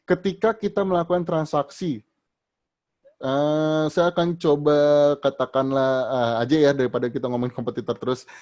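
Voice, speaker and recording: A man's voice: medium pitch, dark tone. A man leading an online training session, answering audience questions in a casual explaining manner with many fillers and restarts, his voice rising sharply at the start of each answer.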